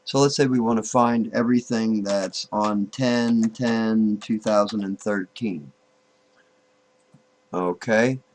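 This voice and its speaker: A man giving an informal, unscripted software tutorial, thinking aloud, halting and repeating himself as he goes. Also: lowish voice, fairly even intonation, a little hiss or hum. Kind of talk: informal screen-recorded tutorial, unscripted walk-through.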